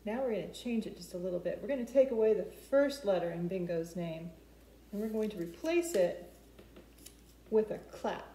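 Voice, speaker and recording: A woman's voice: mid-range voice, expressive, moderate pace, warm tone. A woman in her forties hosting a storytime for preschoolers, explaining things simply and asking the children questions. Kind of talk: speaking to preschoolers, instructional. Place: living room with open kitchen at home. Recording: roomy echo, noticeable background noise.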